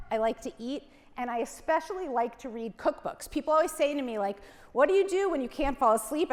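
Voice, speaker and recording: A woman with a high-pitched voice hosts a casual stage interview. She is chatty and informal, quick to banter and interject, with long reverb from a large hall.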